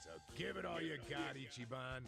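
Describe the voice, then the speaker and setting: Gravelly, wry male sidekick. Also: mid-range voice, moderate pace. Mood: wry, supportive. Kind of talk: video game voice acting. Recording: music behind the voice.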